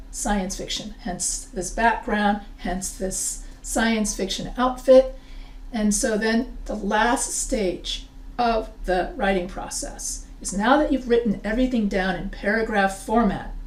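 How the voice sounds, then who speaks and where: A woman's voice: mid-range voice, darkish tone. A middle-aged woman, a professor of English composition, giving an unscripted lesson to her webcam and gesturing a lot as she explains. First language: not French. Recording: echoey room, a bit far off.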